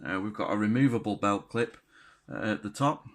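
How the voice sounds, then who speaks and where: A man giving a casual, unscripted product review in a halting but enthusiastic way. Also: on the low side. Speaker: radio gear reviewer.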